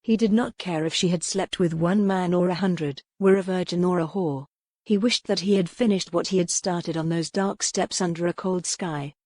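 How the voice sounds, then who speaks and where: A woman's voice: on the low side, normal speed, a little mellow, no room sound, studio quality. A woman reading scripted, dramatic narration from a historical romance novel as an audiobook narrator.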